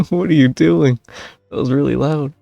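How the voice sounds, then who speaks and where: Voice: medium pitch, expressive, a little slow, creaky, mellow, no echo, lo-fi sound, faint music behind. A streamer giving casual, off-the-cuff reaction commentary while playing a game, with hesitant asides. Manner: laughs often, hesitant asides.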